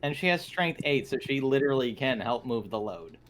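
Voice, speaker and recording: A man's voice: mid-range voice, expressive, a little fast, a bit bright. A man chatting casually as a player in an online tabletop roleplaying game. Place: online voice call. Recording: noisy call with keyboard typing.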